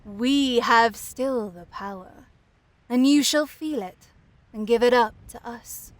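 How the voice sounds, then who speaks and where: A woman's voice: on the high side, slow pace, no echo, low-quality recording. A woman voicing the scolding, mocking, cold-hearted wind and weather of a storybook, taunting and reproachful.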